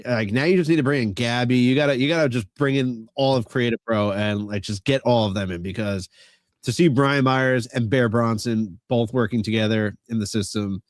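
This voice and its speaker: A man's voice: mid-range voice, moderate pace, no echo. Nasal man with a cold. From Long Island, New York. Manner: enthusiastic, emphatic. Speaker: podcast co-host. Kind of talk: casual wrestling podcast chat.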